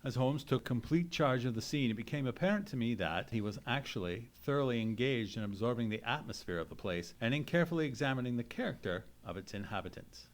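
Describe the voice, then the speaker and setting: A man playing an earnest gentleman companion to a detective, narrating a radio play in a formal, measured way. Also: medium pitch, expressive, close to an everyday voice. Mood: earnest, observant.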